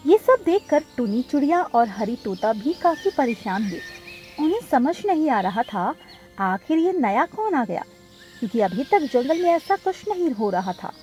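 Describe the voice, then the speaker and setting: A woman's voice: sits high, sing-song, normal speed, dark tone, soft background music. A woman narrating a children's cartoon story from a script.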